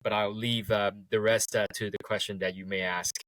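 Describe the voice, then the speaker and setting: A man, a guest talking in a relaxed way and laughing easily. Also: lowish voice, normal speed, dry recording, poor audio. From Taiwan. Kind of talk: casual interview.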